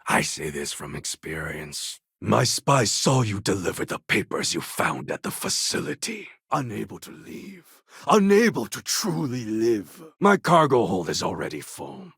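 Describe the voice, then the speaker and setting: A man playing a proud, fierce warlord in a video game, speaking in a grandiose, commanding way with a husky, gravelly voice. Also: on the low side, big pitch swings, unhurried, fairly bright tone, dry recording, clean studio sound, exaggerated voice. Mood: solemn, resolute. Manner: grandiose, commanding, formal phrasing. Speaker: video game character voice actor. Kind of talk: dramatic video game character dialogue.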